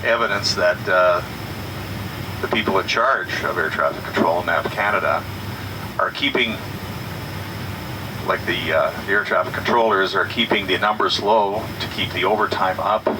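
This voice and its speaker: Distant, noisy low-voiced male pilot announcement. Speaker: airline pilot addressing passengers. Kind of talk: in-flight cabin announcement. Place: aircraft cabin. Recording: distant, noisy passenger recording of cabin announcement.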